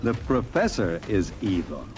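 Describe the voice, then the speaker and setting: A man playing a commanding, coldly menacing crime boss in an old-time radio drama, deliberate and controlling. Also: fairly low pitch, very animated, darkish tone. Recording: old radio recording, music behind.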